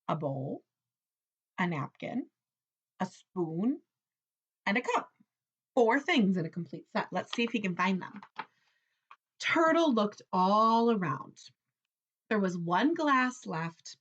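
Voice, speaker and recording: A woman's voice: medium pitch, dull audio. A woman teacher reading a picture book aloud expressively, explaining simply and putting questions to young listeners.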